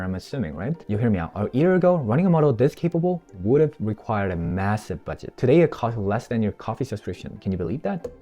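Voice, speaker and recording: A man whose first language is Korean, chatting through a software tutorial and review on a wireless mic and checking in with the viewer. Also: on the low side. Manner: chatty, explanatory, tosses in "right?" to the viewer. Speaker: tech YouTuber. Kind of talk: casual screen-recorded tutorial and sponsored review. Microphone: wireless microphone.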